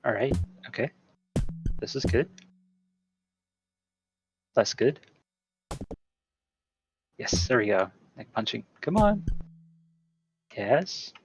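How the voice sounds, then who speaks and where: Man doing live gameplay commentary, slightly creaky. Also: medium pitch, big pitch swings, slow pace, pauses often, lo-fi sound, over music. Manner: informal, talking to the game while playing. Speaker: speedrunner.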